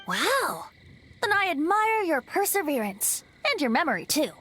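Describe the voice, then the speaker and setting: A woman's voice: high voice, big pitch swings, normal speed, fairly bright tone. A woman voicing a playful, musing young bard in a video game, teasing and thoughtful. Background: faint background music.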